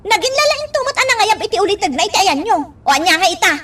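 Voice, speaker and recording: A woman's voice: very high-pitched, big pitch swings, quick pace, bright, forceful tone, slight background noise, full character voice. A woman acting as a young, exasperated daughter who is protective of her mother, in an Ilocano radio drama. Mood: exasperated, concerned.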